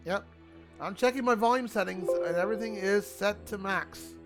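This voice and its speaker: A man streaming a video game, talking in a loud, rambling, chatty way to his viewers. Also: high voice, normal speed, over music. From Italian family. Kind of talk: casual gaming livestream chat.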